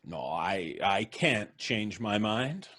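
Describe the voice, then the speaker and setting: An actor playing a calm, amused man who cheerfully expects to die soon, talking in an unhurried, cryptic way. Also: fairly low pitch, exaggerated voice. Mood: serene. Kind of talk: live radio drama reading.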